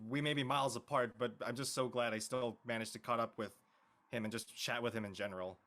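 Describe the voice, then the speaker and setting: A man's voice: mid-range voice, steady tone, fast talker, a bit bright. A man from Canada chatting casually on a panel, earnest and emphatic, stumbling slightly over his words. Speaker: panelist in an online discussion. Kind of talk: casual chat.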